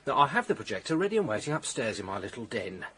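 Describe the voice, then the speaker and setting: An actor playing a nervous, eager-to-please British chemist in a radio drama, with a flustered, hesitant manner. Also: male voice, medium pitch, sing-song, fast, a bit bright, slightly rough. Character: fussy, eager small-town male chemist, deferential and nervous. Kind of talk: detective radio drama acting.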